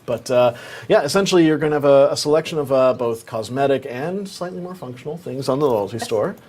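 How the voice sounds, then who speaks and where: A man's voice: mid-range voice, expressive, brisk, a little mellow. A man co-hosting a game livestream, upbeat and chatty with lots of fillers. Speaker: co-host on a game stream. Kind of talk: casual chat on a livestream.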